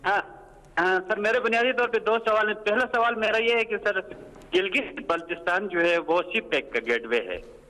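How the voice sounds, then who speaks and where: High, sharp-voiced man. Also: animated, normal speed, rough recording. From Gilgit, Pakistan. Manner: respectful, insistent, often says sir. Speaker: caller to a phone-in. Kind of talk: putting questions and complaints. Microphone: telephone call.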